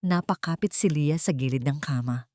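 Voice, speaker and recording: A woman reads a dramatic story in a slightly low voice. Her delivery is deliberate and emotive, like scripted storytelling. The sound is close and dry. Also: dark tone, no echo. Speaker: story narrator.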